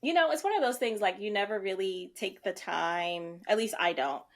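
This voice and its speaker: A woman's voice: mid-range voice, expressive, moderate pace. A woman educator and content creator, interviewed as a guest, answering in a reflective, hesitant way. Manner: reflective, hesitant, laughs easily.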